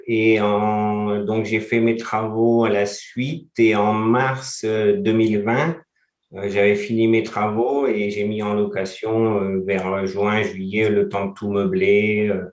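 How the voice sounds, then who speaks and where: A man's voice: lowish voice, darkish tone, roomy sound, dull audio. A man in his forties from France, a guest in a casual interview, who talks hesitantly with many fillers. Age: 40s.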